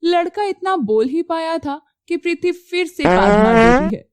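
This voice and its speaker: A woman narrating a scripted moral story as an expressive storyteller. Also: very high-pitched, measured pace, no room sound.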